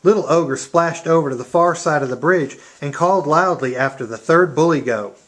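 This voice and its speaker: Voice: medium pitch, normal speed, heavy background noise. Storyteller narrating a children's puppet tale.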